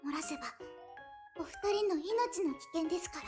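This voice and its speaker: A woman's voice: very high voice, lively intonation, quick pace. A woman voicing a childlike robot girl in a Japanese visual novel, earnest and boastful, with a polite, theatrical delivery. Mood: solemn, confiding. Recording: music behind the voice.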